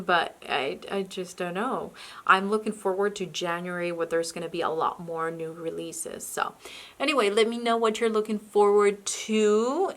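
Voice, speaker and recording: A woman talks through her new books in a casual, chatty way. English is her second language, and she often restarts her sentences and hesitates. Her voice sits in a middle pitch range. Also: fairly bright tone. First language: not English. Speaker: book vlogger. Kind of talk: casual book haul chat.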